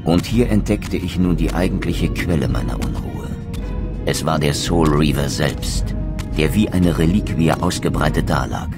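A man voicing a brooding, noble undead vampire wraith who narrates his quest in a solemn, measured, literary manner for a video game. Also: a bass voice, over loud music. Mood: grave awe. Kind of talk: scripted dramatic game narration.

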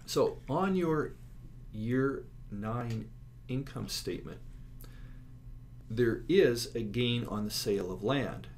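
A man giving an instructional lecture, explaining slides step by step and stressing key words. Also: fairly low pitch. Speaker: accounting instructor. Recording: clear steady background noise.

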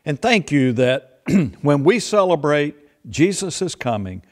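An older man in his sixties, a pastor who talks slowly and playfully to a group of children. His pitch rises and falls a lot as he explains things. He is recorded by a camera across a church sanctuary. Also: very animated. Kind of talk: children's sermon. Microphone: far camera.

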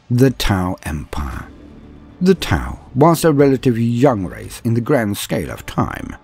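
A man reading scripted fictional lore as a narrator, with a measured, formal and dramatic delivery. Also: on the low side, darkish tone. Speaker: narrator and host of a science fiction lore guide. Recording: clean voice-over over faint music. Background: faint background music.

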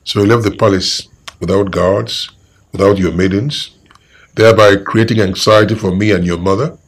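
A man's voice: lowish voice, fairly even intonation, moderate pace. A man playing a stern king who scolds his daughter and threatens his guards. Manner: commanding, threatening. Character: stern commanding king, angry father.

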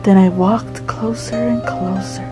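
A woman's voice: mid-range voice, fairly even intonation, a little slow, close to an everyday voice. Woman playing a playful storytelling plush toy over music. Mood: playfully spooky. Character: friendly, playful plush toy telling spooky stories. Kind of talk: playful puppet-play storytelling for children.

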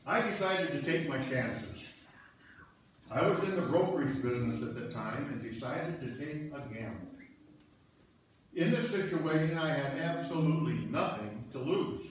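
A man's voice: fairly high pitch, animated, normal speed, lightly acted. A man playing a plain-spoken, admiring husband in an amateur reader's theater, recorded from afar in a church. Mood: fond, matter-of-fact. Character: plain-spoken husband Murph, former broker, admiring his wife. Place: on stage in a church, far camera. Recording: very echoey hall, distant mic, noisy.

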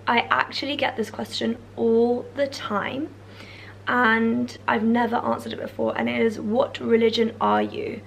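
English girl in her 20s w a cold, husky and a bit gravelly, chatty with lots of likes and ums, slightly high voice. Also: slight background noise, poor audio. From England. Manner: chatty, many fillers like "like" and "um". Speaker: YouTuber answering viewer questions. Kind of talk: casual Q&A chat. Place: bedroom or living room, indoors. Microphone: camera in front, seated close.

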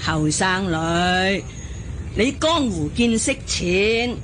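A stern, animated older nun. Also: female voice, mid-range voice. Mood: scolding. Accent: Hong Kong. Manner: scolding, authoritative, deliberate. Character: stern older Buddhist nun, commanding martial elder. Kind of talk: Cantonese wuxia radio drama acting. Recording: radio drama with loud outdoor background noise.

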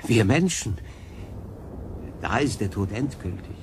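Lowish-voiced, troubled stranded man. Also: animated, takes it slowly, a little hiss or hum. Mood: pensive, resigned. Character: stranded human man, earnest, brooding, troubled. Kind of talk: German science fiction radio drama acting.

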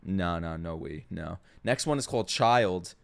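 A man's voice: low voice, animated, measured pace, creaky. A man streaming a casual live reaction, slangy, sweary and exclamatory. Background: game trailer music.

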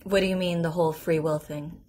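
An actress playing a patient, professional therapist in an audio drama, asking questions in a measured, careful way. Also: female voice, fairly low pitch, fairly even intonation, darkish tone, slight background noise, lightly acted. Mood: gently probing. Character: composed, patient woman therapist, probing and professional. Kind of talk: naturalistic audio drama dialogue.

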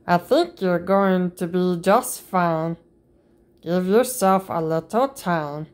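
A woman reading a picture book aloud to children in a slow, sing-song manner, jumping up high on the playful sound words. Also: darkish tone. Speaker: storybook reader.

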